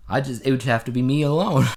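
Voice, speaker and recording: A man's voice: mid-range voice, expressive, brisk, darkish tone. A man co-hosting a casual podcast, talking in a rambling, anecdotal way. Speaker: podcast co-host. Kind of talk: casual conversational podcast chat.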